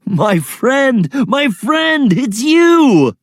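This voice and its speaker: A man's voice: extremely high pitch, big pitch swings, drawn-out words, dry recording, studio quality, voice pushed up, heavily acted. A man playing a kind, earnest runaway slave in a children's readers theatre, with an exclamatory delivery. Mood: joyful. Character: Androcles, kind runaway slave, shaky but helpful.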